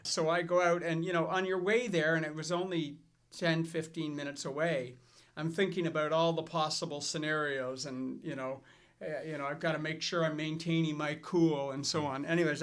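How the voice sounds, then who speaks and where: A middle-aged man hosting a financial advice show, telling stories in a relaxed way with fillers and restarts. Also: fairly high pitch. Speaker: financial advisor and show host. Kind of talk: casual scripted chat.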